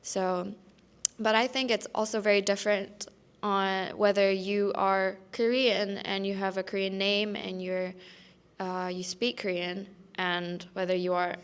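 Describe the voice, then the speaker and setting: A woman's voice: medium pitch, little pitch movement, unhurried, clean tone. A young woman speaking thoughtfully in a casual discussion on a student panel. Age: young adult.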